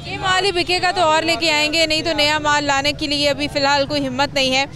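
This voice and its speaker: A woman's voice: sits high, flat delivery, a little fast, bright, forceful tone. A young Pakistani woman working as a TV field reporter, interviewing people on the street into a handheld microphone. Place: crowded outdoor street market. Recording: busy outdoor market crowd noise.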